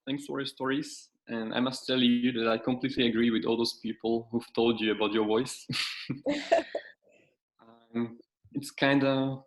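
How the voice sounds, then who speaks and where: A man in the audience speaks up on a video call. He talks hesitantly, with many pauses and filler sounds, as he thanks the speaker. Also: medium pitch, low-quality recording. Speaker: audience member. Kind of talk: casual comment on a call.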